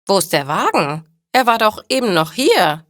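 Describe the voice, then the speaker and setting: A woman's voice: mid-range voice, very animated, moderate pace, a bit bright, no echo, clean studio sound, pitched above the performer's own voice. A woman voicing a hapless male driver in a storybook, in exclaiming read-aloud dialogue. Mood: alarmed.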